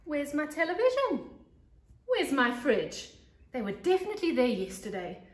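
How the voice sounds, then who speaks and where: A woman voicing a small, feisty male storybook monster, exclaiming indignantly with drawn-out words, recorded in an echoey room. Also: high-pitched, wildly swooping pitch, voice pushed up. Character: small feisty male toast-loving cartoon monster, easily outraged. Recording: echoey room, camera mic.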